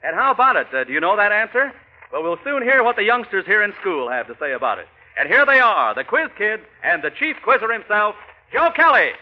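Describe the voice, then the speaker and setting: An American man announcing in old-time radio style, as a show announcer and sponsor pitchman. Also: sing-song, brisk. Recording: muffled, noisy vintage radio recording.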